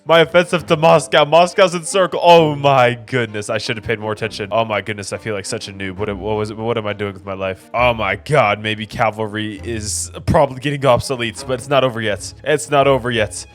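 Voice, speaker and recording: Excitable young man doing game commentary. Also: medium pitch. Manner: excitable, joking, slangy. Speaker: gaming YouTuber. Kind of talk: casual gameplay commentary. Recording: faint background music.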